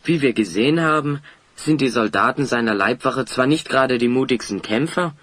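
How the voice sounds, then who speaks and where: An actor playing a loyal, polite young adventurer in an audio drama, speaking in a measured, confident way. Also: male voice, mid-range voice, lively intonation, fairly bright tone. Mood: sceptical, respectful. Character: loyal, level-headed young male adventurer. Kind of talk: German audio drama acting.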